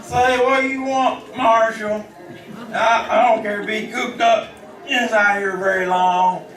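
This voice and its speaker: An actor playing a plaintive backwoods farmer with a halting, stammering Ozark drawl in an old-time radio western. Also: male voice, high voice, steady tone, a crowd in the background. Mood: grumbling. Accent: Ozark hillbilly. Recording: roomy, distant vintage radio recording.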